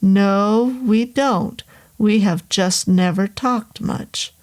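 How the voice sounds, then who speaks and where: A woman's voice: mid-range voice, drawn-out words, a little mellow. A woman doing a joking old caretaker. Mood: easygoing. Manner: easygoing, joking. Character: congenial sixty-year-old male caretaker, joking.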